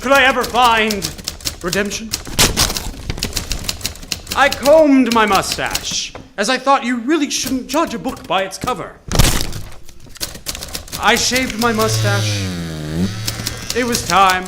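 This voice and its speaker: A noir-parody private eye with a strikingly high voice that swings widely in pitch. He narrates in theatrical, wisecracking gumshoe style, as in a live radio play, with clear background noise. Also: slow pace, pauses often, exaggerated voice. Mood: brooding, wry. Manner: theatrical, deadpan quips, old-time gumshoe slang. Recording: noisy live theater stage recording.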